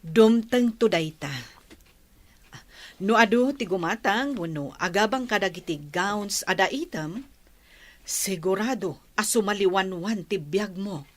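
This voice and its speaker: A woman's voice: medium pitch, unhurried, lightly acted. A woman with an Ilocano accent playing a kindly, encouraging benefactress in a radio drama.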